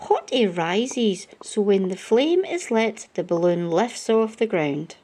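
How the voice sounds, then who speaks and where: Woman as young boy, storybook character, earnest, explaining, mellow tone. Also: mid-range voice, lively intonation, slow. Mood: eager, explaining.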